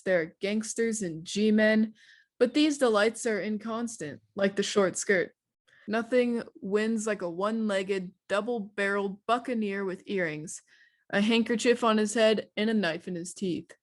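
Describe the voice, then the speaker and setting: Female radio drama presenter, medium pitch, scripted and theatrical, wry formal delivery.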